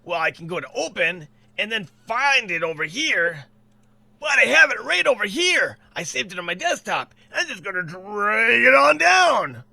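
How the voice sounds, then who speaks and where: A man's voice: high voice, very animated, moderate pace, creaky, sharp tone, distant mic. A man presenting an upbeat tutorial as an instructor, with goofy, exaggerated exclamations and asides.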